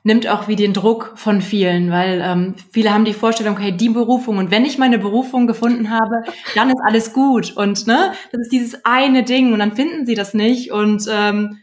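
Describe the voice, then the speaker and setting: A woman hosts a casual interview in a friendly, affirming manner. She asks her questions slowly and leans on fillers as she goes. Her replies to the guest sound encouraging. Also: medium pitch, steady tone. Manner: warm, affirming, many fillers. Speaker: interview host.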